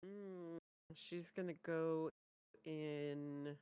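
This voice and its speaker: A woman's voice: deep voice, fairly even intonation, extremely slow, mellow, clean tone, no room sound, low-quality recording. A woman chatting casually and giving commentary as co-host of a reaction show.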